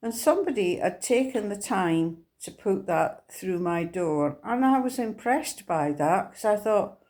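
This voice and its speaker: Slow-spoken Scottish woman sharing testimony. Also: mid-range voice, lively intonation, darkish tone. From Scotland. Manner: earnest, unhurried storytelling. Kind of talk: personal faith testimony.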